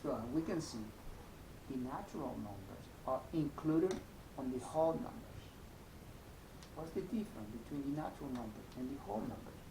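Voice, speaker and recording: The recording is echoey, with a clear rumble of noise behind the voice. A man with a Salvadoran Spanish accent gives an informal math lesson at a medium pitch. He goes step by step, repeating points and checking that the listener follows. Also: animated, moderate pace. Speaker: math teacher.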